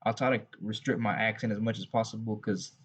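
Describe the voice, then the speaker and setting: A man's voice: on the low side, fairly even intonation, fairly quick, fairly bright tone, breathy edge. A man with a heavy Texas accent giving loose, rambling religious advice to fathers, with many restarts and asides. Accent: Southern US, Texas. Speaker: religious channel host. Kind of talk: unscripted advice talk. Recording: dull lo-fi recording.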